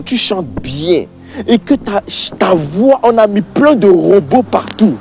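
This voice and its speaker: A man's voice: high-pitched, darkish tone. A man giving an informal religious opinion monologue, exasperated and emphatic, repeating points and addressing the listener directly.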